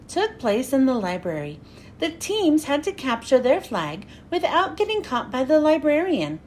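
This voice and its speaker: Female kids' read-aloud host: expressive, very lively intonation, high voice, slightly noisy recording. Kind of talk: children's storybook read-aloud.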